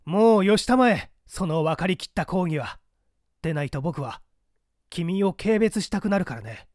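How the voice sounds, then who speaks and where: A cool, defiant male operative of a secret party acted by a man in a dramatic audiobook. His voice is high and very animated, with a dry close sound. He argues rhetorically and formally, with a sardonic edge. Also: moderate pace, no echo. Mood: scornful. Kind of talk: dramatic audiobook character dialogue.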